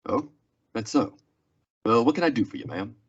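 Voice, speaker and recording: A man playing a weary, steady frontier marshal in an old-time radio western, terse, polite and restrained. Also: medium pitch, moderate pace, husky. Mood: courteous, gentle. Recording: dull lo-fi radio drama recording.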